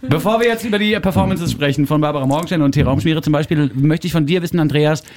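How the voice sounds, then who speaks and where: A man's voice: fairly high pitch, quick pace, a crowd in the background. A man hosting a radio studio interview, chatty and playing along with his guests' jokes. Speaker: radio host.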